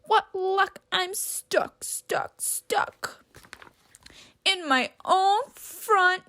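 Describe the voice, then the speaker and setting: A woman voicing a big hungry storybook bear in a plaintive, drawn-out wail. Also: extremely high pitch, pushed and bright, pitched above the performer's own voice, heavily acted. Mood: wailing dismay.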